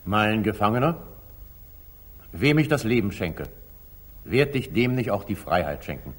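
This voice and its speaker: An actor playing a magnanimous older sultan in a 1940s German radio drama, speaking stage verse in a stately, declamatory way. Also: male voice, fairly low pitch, animated, unhurried. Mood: gracious, musing. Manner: stately, declamatory, swinging from soft to emphatic. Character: magnanimous older sultan, commanding yet indulgent, ruler of Jerusalem. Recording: slightly muffled 1940s radio studio recording.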